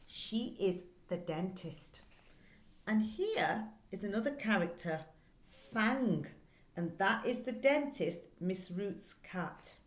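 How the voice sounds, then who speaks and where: A middle-aged woman speaks as a teacher giving a read-aloud lesson. Her pace is slow and deliberate, with expressive storytelling and questions for young listeners. The sound is echoey, picked up by a desk-distance microphone in a small room. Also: medium pitch. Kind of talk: read-aloud lesson for pupils, reading and explaining a children's book. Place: small plain indoor room. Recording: echoey, roomy indoor recording.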